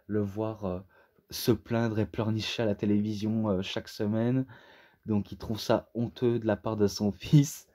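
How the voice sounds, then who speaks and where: A man who is a native French speaker, giving a casual, unscripted review in a halting way, often searching for words. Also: on the low side, mellow. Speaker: amateur reviewer.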